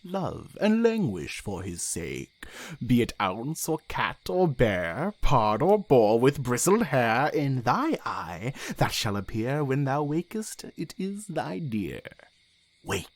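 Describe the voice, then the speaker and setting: A man's voice: mid-range voice, very animated, slow pace, breathy edge, dry recording, exaggerated voice. Man playing a theatrical fairy king, wildly swooping delivery. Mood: incantatory, menacing. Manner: theatrical, declamatory. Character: commanding fairy king, scheming and incantatory. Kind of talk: classical Shakespearean verse acting.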